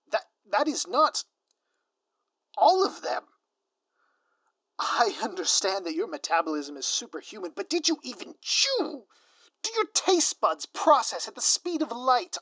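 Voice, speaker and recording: A man's voice: fairly high pitch, pushed and bright, dry recording, exaggerated voice. Man acting out a pompous, theatrical scheming supervillain. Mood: flustered, incredulous. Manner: pompous, florid, drawn-out dramatic delivery. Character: theatrical scheming male supervillain, gloating, menacing, easily flustered. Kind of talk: ASMR audio roleplay, scripted villain monologue.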